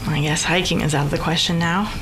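A woman's voice: sits low, steady tone, fast, noisy background, natural voice. A woman playing an anxious, distrustful wife and mother in an audio thriller. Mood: concerned. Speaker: radio drama actress. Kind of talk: audio drama acting.

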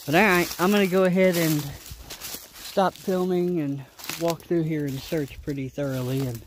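Outdoor vlogger, male, slightly high voice, folksy and rambling, noisy handheld field recording. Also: fairly even intonation, moderate pace. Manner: rambling, folksy, thinking aloud. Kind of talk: casual vlog commentary while walking. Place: outdoors in leafy winter woods. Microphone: handheld camera or phone, behind the lens.

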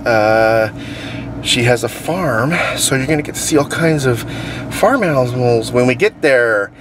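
A man's voice: mid-range voice, lively intonation, measured pace, noisy background. A man, chatting to his kids and the camera in a family vlog from a minivan. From Quebec, Canada. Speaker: vlogger. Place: inside a minivan. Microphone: phone camera at arm's length.

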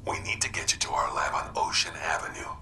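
An actor playing a secretive, intense doctor in a video game, hushed, breathy and urgent. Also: male voice, a bass voice, fairly even intonation, fast talker, in a whisper, full character voice. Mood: hushed, reassuring. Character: secretive, intense male doctor seeking a cure.

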